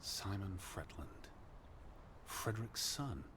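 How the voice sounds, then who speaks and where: Low-voiced, bookish American scholar. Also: male voice, lively intonation. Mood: matter-of-fact, prim. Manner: proper, measured, earnest. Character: earnest, bookish, cautious American male scholar of the 1920s. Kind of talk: naturalistic video game voice acting. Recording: clear background ambience noise.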